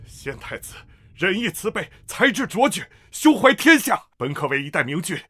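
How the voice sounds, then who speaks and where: Scornful royal minister, formal, bright and raspy, dry sound. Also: male voice, high-pitched, sing-song, normal speed, no room sound, exaggerated voice. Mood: righteous scorn. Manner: formal, declamatory. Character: righteous, scornful court minister. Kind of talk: period drama acting.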